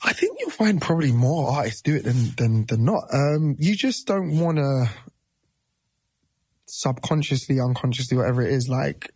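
Male musician guest, 30s, husky mellow voice, dry close sound, rambling casual delivery. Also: medium pitch, moderate pace, no echo. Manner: relaxed, rambling, with many fillers and restarts. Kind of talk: casual interview talk.

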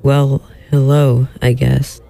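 An actor playing a flirty, exasperated bride in a comic radio play, with a dry manner. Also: male voice, mid-range voice, little pitch movement, slow pace, creaky, dark tone.

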